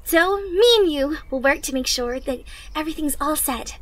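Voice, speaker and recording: A young woman playing a caring, encouraging teenage superhero in training, speaking gently and earnestly in an anime-inspired ASMR roleplay. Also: high-pitched, lively intonation, normal speed, dry recording. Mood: upbeat, encouraging. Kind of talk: ASMR roleplay, acted monologue to listener. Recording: noisy ambience with birdsong. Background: birdsong, outdoor ambience.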